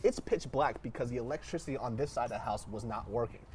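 A man telling animated family stories in a casual podcast chat, laughing as he goes. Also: medium pitch, fast talker, slight background noise. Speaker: podcast guest. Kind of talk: casual storytelling.

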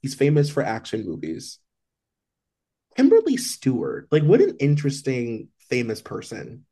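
Male podcast co-host, warm low-key timbre, rambling casual gossip delivery. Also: wildly swooping pitch, moderate pace, creaky, breathy edge. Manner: loose and chatty, heavy on "like". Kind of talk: casual celebrity gossip chat.

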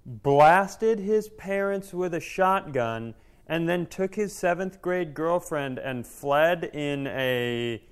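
American male co-host, slightly high voice. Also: lively intonation, takes it slowly, smooth. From small-town United States. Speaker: podcast co-host. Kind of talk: casual comedic banter.

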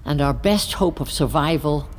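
An actress playing a solemn group leader in an audio drama, speaking in a measured, deliberate and ceremonial way. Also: female voice, low voice, a little mellow, lightly acted. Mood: earnest, grave. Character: solemn woman leading an anti-technology support gathering.

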